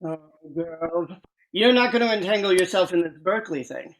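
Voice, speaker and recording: A man's voice: high-pitched, normal speed, fairly bright tone. A man playing a fussy, superstitious sidekick in an old-time radio drama, with an affected, florid way of talking. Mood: wary, superstitious.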